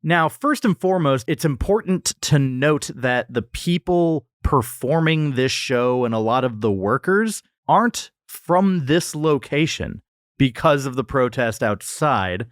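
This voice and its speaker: A man's voice: medium pitch, lively intonation, a little slow, dry recording, clean studio sound. A man co-hosting a podcast, giving a wry, descriptive review in casual, comedic conversation. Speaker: podcast co-host.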